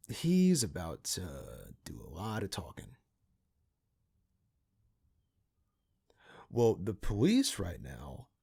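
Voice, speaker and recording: A man playing a cynical, pragmatic partner in crime to a vigilante in an ASMR roleplay, talking in a casual, halting, conspiratorial way. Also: deep voice, creaky, dark tone, husky, heavily acted. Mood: matter-of-fact. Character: cynical, pragmatic male criminal accomplice to a vigilante.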